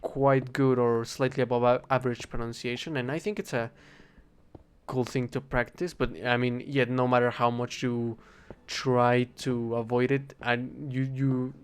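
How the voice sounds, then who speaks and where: A young man with a Spanish accent, a native Spanish speaker, rambling in a casual, halting monologue while he plays a game and keeps correcting himself. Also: mid-range voice, level tone, clean tone. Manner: halting, self-correcting, thinking aloud. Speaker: vlogger.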